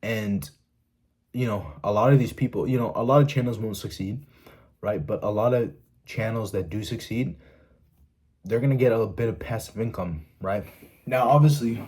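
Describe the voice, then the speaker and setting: A man's voice: lowish voice, normal speed, darkish tone, breathy edge. A young man giving blunt, profane self-help advice to his viewers in a casual monologue, stopping often to ask "right?".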